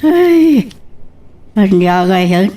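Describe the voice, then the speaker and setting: A man acts out an elderly grandfather for an Ilocano radio drama. He speaks slowly in a high-pitched voice. He sounds like a fond family elder. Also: very animated, mellow, recorded from a distance, heavily acted. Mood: grumbling. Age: older. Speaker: actor in an audio drama.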